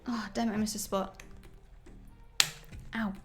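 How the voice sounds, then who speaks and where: A woman's voice: medium pitch, little pitch movement, a little slow, faint music behind. A woman streaming casually while doing her makeup, reading viewer comments aloud and reacting to them in a chatty, friendly way.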